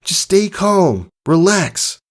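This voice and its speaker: A man's voice: sits high, sing-song, slow, pitched above the performer's own voice, heavily acted. A man voicing a caring, patient pegasus father in a story reading, coaching his child in an earnest, encouraging way. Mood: urgent, reassuring. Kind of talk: dramatized storybook character reading.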